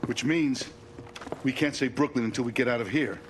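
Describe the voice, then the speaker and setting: Need a man as a commanding president under fire, grave and firm, mid-pitched voice, light hiss behind. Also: normal speed, natural voice. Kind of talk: game cutscene acting.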